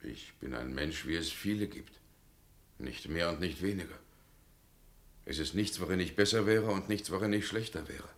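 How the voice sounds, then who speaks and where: A man's voice: low-pitched, normal speed. An actor playing an ordinary condemned man in a radio play, led by his double and speaking in a hesitant, subdued way. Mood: resigned.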